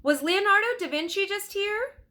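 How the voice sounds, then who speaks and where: A woman's voice: very high voice, moderate pace, bright, forceful tone, pitched above the performer's own voice. A woman voicing a worried, practical young boy adventurer in a storybook, anxious and full of exclamation. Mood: urgent.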